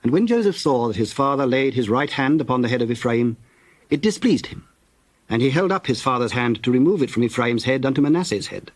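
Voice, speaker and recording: A man narrates a dramatized scripture text. His medium-pitched voice is measured and solemn, like formal storytelling. The sound is dry, with no room around it. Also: expressive, no room sound.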